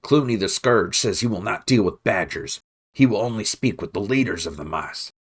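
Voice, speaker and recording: A man voicing a brash, swaggering male rat henchman with loud, blustering calls. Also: lowish voice, moderate pace, vocal fry, husky, voice pushed up, exaggerated voice. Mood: bold.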